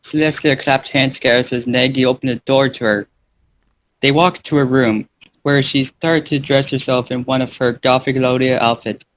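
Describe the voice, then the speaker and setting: A man's voice: mid-range voice, level tone, normal speed, a bit bright, slight background noise, low-quality recording. A man hosting and narrating a fan fiction as an amateur reader, casual and occasionally stumbling over words. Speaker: channel host and story narrator.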